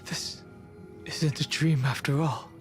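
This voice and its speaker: An actor playing a solemn, guarded young male guard in a video game, speaking in a grave, formal and measured way. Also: a little high, steady tone. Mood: troubled, subdued. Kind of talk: dramatic video game voice acting. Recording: game dialogue over clear background music.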